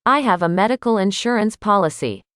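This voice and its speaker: A woman reading short phrases aloud slowly and carefully for language learners, saying each one twice. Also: mid-range voice, lively intonation, fairly bright tone, clear, resonant tone, dry recording, clean studio sound. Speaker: language lesson reader.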